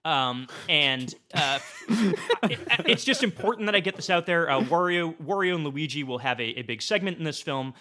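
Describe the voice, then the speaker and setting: A man talking casually as a podcast guest, making a comedic pitch in an excitable, mock-earnest, halting way. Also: a little hiss or hum.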